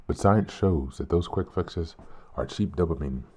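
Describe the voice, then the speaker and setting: Podcast host, male, very deep and warm, mildly hoarse; calm, earnest reading. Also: unhurried. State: hoarse, a bit sick.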